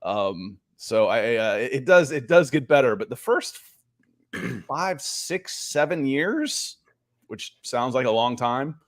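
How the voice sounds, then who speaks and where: Male podcast host, chatty and animated, mid-pitched, dry close sound. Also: slow, no echo. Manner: chatty, animated, reads chat messages aloud. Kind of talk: casual sports podcast chat.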